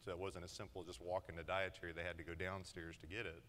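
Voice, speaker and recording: A man's voice: low voice, little pitch movement, quick pace, fairly bright tone, in a large hall. A man in his thirties speaking as a conference panelist, conversational and plain-spoken, on a lapel mic. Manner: conversational, explains in plain terms. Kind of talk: panel discussion. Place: large conference hall stage.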